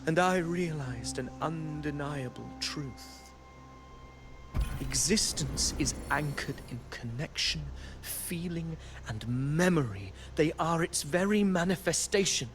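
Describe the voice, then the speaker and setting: An actor playing a proud, disillusioned fallen hero in a video game, speaking in a dramatic, brooding monologue. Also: male voice, fairly high pitch, lively intonation, slow, music in the background. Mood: grave, intense.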